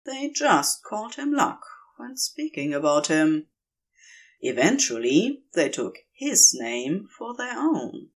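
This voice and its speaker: An unhurried storyteller of androgynous pitch reads scripted fiction aloud. The delivery is expressive and highly melodic, rising and falling widely, with deliberate pauses between phrases. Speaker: podfic reader. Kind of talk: scripted narration, reading fan fiction aloud.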